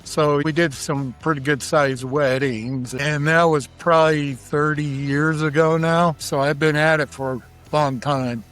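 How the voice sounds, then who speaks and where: A man's voice: fairly high pitch, steady tone, a little slow, few pauses, fairly bright tone, faint music behind. A middle-aged man with an American accent, an interview guest casually telling stories about his work. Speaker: interview guest, barbecue cook.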